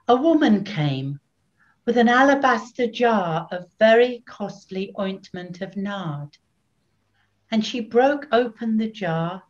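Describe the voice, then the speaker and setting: Slow, expressive older woman narrating scripture. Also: fairly high pitch. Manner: deliberate, expressive. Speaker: narrator (evangelist). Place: small room at home. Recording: slightly dull webcam recording.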